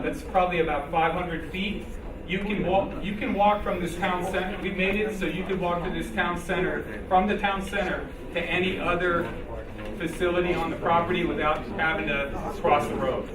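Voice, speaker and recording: A man presenting a development project at a civic meeting, informal and halting with restarts. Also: high-pitched, fairly even intonation, a crowd in the background. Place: meeting hall. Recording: echoey hall, far from mic, noisy.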